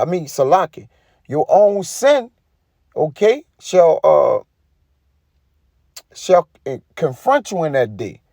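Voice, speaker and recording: A man's voice: on the high side, mellow, no echo, studio quality. A man giving an informal sermon, reading scripture and commenting in an emphatic, colloquial way with frequent tag questions. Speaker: preacher.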